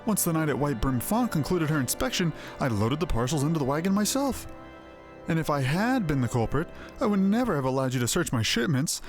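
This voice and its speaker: A man's voice: on the high side, very animated, fairly quick. Man voicing an indignant, defensive wagon loader. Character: indignant male wagon loader protesting his innocence. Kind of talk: fantasy game dialogue read aloud. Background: game music.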